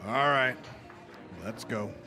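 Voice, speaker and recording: A student actor playing a stern, watchful Old West marshal in an amateur radio play, terse and world-weary. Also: male voice, medium pitch, takes it slowly, sharp tone, faint music behind. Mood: businesslike.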